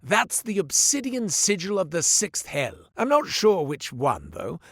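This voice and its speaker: An actor playing a sly, smooth-talking male merchant who spins tales while selling gear, in a game. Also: sits high, wildly swooping pitch, measured pace, fairly bright tone, dry recording, clean studio sound, exaggerated voice. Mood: wry. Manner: theatrical, salesman patter, wry. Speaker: shopkeeper narrating a tale. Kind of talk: studio game voice lines. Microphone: close studio mic.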